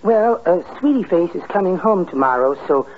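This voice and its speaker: Meek, hesitant, courteous henpecked husband; vintage radio comedy, narrow hissy sound. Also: male voice, high voice, animated, moderate pace. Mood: apologetic. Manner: hesitant, mild, overly polite. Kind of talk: 1950s radio comedy acting. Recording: tinny, noisy old radio recording.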